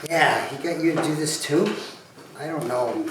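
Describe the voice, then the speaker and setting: A man's voice: fairly high pitch, normal speed, lightly acted. A man playing a meek, flustered, apologetic office clerk in an amateur comic dramatic read, hesitant and deferential. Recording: echoey room, distant, clear background noise.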